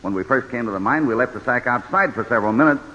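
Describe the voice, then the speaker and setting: An actor playing a steady, resolute American cowboy hero in a vintage radio western, speaking with firm, deliberate authority. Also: male voice, mid-range voice. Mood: grave, calculating. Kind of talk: 1940s-50s radio western acting. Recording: old radio recording, reverberant and muffled.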